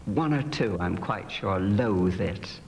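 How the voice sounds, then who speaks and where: A man speaking reflectively as a clergyman interviewed, in a thoughtful, measured and hesitant manner. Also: lowish voice, lively intonation, creaky. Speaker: clergyman who plays pantomime dame. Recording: echoing hall, noisy old archive audio.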